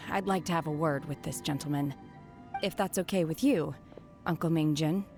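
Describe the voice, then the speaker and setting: A detached young woman from a fantasy game. Her voice sits a little low and stays calm and quiet. She speaks in measured, reflective phrases. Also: over music. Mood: wistful. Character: aloof, lonely young woman exorcist. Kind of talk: game voice acting.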